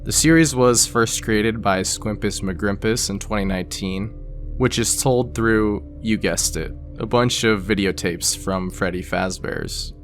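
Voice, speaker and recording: Low-pitched man narrating over music. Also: a little slow. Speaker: video essay narrator. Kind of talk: scripted explainer narration with casual asides. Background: background music.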